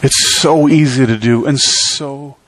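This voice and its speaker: A middle-aged man delivers a sermon in a church sanctuary. His voice sits at an ordinary pitch but climbs and falls dramatically as he stresses each phrase, then drops to near quiet at the ends of lines. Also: takes it slowly, a little hiss or hum, poor audio. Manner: emphatic preaching with sweeping pitch and volume swings. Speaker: pastor.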